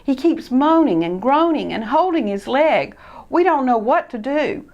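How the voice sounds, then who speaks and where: A woman's voice: high-pitched, animated, unhurried, a little mellow, dull audio, pitched above the performer's own voice. Woman doing an alarmed child mouse. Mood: urgent. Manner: urgent, alarmed.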